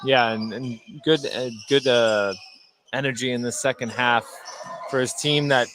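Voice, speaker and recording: Mid-pitched man giving halting sports analysis. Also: steady tone, a bit bright, a little hiss or hum, rough recording. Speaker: color commentator. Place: courtside in an indoor high school gym.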